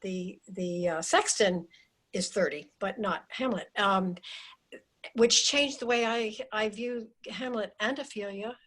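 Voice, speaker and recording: A woman with a mid-range voice shares remarks in an online discussion. She speaks haltingly, doubling back and restarting phrases as she builds her point. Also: medium pitch, a bit bright. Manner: halting, repeats and restarts while making a point. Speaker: participant, former teacher. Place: video call.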